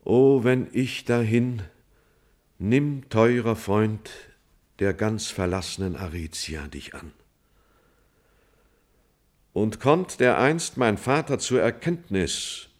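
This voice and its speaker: Solemn, slow-speaking tragic messenger. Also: male voice, on the low side, lively intonation. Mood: mournful, dying plea. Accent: standard German stage diction. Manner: solemn, declamatory, drawn-out dramatic phrasing. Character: grief-stricken male messenger, loyal friend reporting a hero's death. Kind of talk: classical German verse tragedy, declaimed radio play monologue.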